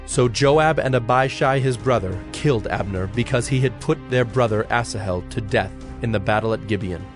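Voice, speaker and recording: A man narrating dramatized scripture from a script in a measured, formal manner. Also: mid-range voice. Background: music.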